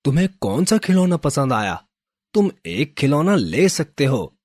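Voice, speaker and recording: A man playing a kindly, generous toy shop keeper in a children's story, speaking in a gentle, reassuring way. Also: fairly high pitch, very animated, measured pace, no echo, studio quality.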